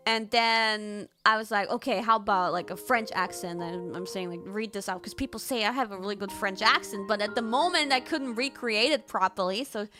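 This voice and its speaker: A woman streamer telling stories in casual chat, animated, jumping to squealed quotes. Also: medium pitch, moderate pace, hardly any pauses, soft background music. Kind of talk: casual chat, recapping a trip.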